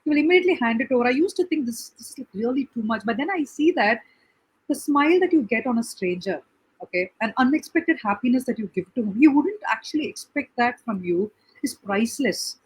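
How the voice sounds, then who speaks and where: A woman's voice: a little high, animated, slightly rough, rough recording. A woman from Tamil Nadu, earnestly describing her charity work and saying "okay" often as a guest on a chat show. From Tamil Nadu, India. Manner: earnest, fills pauses with "okay". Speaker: guest, NGO founder. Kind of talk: conversational interview answers.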